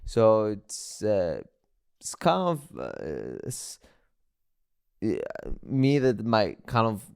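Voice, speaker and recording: A man's voice: lowish voice, huge pitch swings, gravelly, darkish tone, clear, resonant tone. A young man from Cyprus who hosts a podcast, chatting casually with his guest and searching for his words. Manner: slow, searching, uses kind of often. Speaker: podcast host. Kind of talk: casual interview chat.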